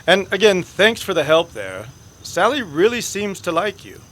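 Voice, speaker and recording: Man acting a courtly, lively-pitched Western gentleman. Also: normal speed. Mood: grateful. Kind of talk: old-time radio western acting.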